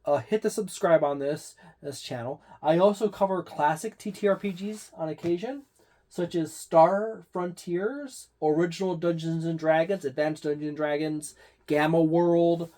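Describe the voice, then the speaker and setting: Mellow-voiced man rambling through a review. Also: fairly high pitch, moderate pace. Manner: rambling, many "uh" fillers and restarts. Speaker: tabletop game channel host. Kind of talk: casual product review.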